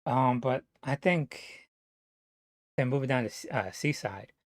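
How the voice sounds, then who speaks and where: A man from New Jersey talking to camera in a rambling, casual monologue, halting and full of fillers, swearing now and then. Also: medium pitch, dry recording, studio quality. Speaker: vlogger.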